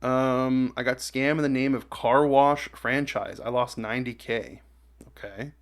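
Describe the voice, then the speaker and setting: Man reading aloud in halting, casual fashion. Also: mid-range voice, steady tone. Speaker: reaction video host.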